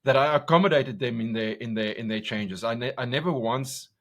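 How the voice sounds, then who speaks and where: A South African man with an Afrikaans accent, a guest in an interview, talking loudly and intensely with lots of restarts. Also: medium pitch, a little fast. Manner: loud, intense, passionate, many restarts. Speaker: guest, commercial director. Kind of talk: casual interview conversation.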